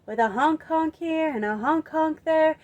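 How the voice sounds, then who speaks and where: Storytime presenter: woman, high voice, unhurried, playful and expressive for young kids. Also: highs cut off. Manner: playful, expressive, drawn-out emphasis. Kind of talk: children's storytime singing and storytelling.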